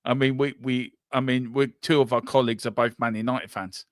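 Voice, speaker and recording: A man hosting a live football watchalong, describing the match with lively, jokey chat. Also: medium pitch, a little fast, no room sound, clean studio sound. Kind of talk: casual play-by-play chat.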